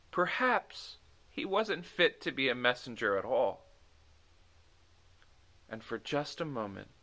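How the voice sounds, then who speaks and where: A man reading a children's book aloud as an expressive, dramatic storyteller. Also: medium pitch. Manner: dramatic, animated. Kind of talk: expressive bedtime story narration.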